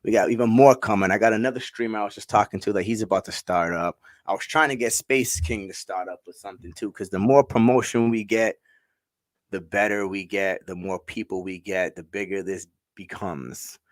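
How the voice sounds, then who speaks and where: A man's voice: lowish voice, a little fast, no echo. A man hosting a casual online chat, hyped and slangy, repeating his points. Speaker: host.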